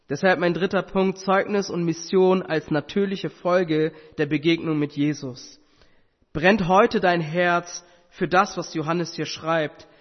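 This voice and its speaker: A man whose first language is Tamil, preaching an earnest sermon with rhetorical questions and occasional hesitations. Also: a little high. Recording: muffled sound with long hall reverb.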